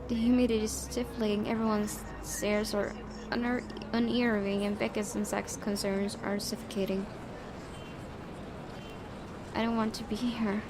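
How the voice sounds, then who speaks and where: A woman's voice: medium pitch, little pitch movement, normal speed. A woman hosting a gaming video, reading a visual novel aloud and commenting casually. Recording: clearly noisy home recording.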